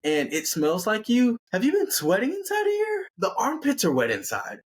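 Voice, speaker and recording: Man putting on a high-pitched, entitled woman hiker. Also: wildly swooping pitch, moderate pace, slightly rough, full character voice. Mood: cutesy, complaining. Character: self-absorbed, breezy, demanding young woman hiker.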